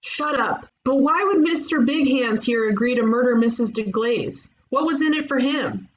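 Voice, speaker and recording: Woman acting as brash, higher-pitched female detective. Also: lively intonation, normal speed, natural voice, low-quality recording. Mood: indignant. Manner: brash, confrontational. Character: bold, combative sarcastic female ghost-agency detective. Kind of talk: animated comedy script table read.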